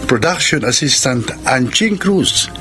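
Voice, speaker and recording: Casting: Filipino man as radio drama narrator, measured, theatrical and varied pitch over loud music. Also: animated. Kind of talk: scripted dramatic narration and credits.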